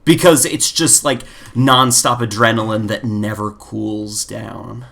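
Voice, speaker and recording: A man's voice: on the low side, steady tone, slow, vocal fry, a bit bright. A man co-hosting a casual chat podcast, talking in a rambling way and peppering his speech with "like". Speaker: podcast co-host. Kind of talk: casual chat about games and films.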